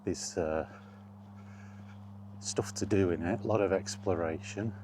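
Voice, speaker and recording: Low-pitched, slow-talking man chatting casually. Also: darkish tone, a little hiss or hum. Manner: unhurried, halting, reflective. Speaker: gaming vlogger. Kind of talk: rambling casual chat about video games.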